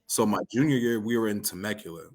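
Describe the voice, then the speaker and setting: American male interview guest, lowish pitch, earnest, informal, conversational. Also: quick pace, darkish tone. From Hawaii and San Diego, USA. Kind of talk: casual chat.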